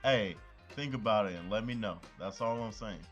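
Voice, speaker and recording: A man's voice: lowish voice, moderate pace, highs cut off, faint music behind, lightly acted. A man playing a cheeky young best friend who loves food and talks in loose, slangy banter. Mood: mock-serious. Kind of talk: amateur audio drama acting.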